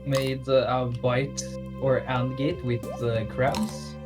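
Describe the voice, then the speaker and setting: Bantering male gamer over loud music. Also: medium pitch, level tone, slow. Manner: playful, mock-serious banter. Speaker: gamer on a group stream. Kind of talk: casual joking chat while gaming. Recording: music behind the voice.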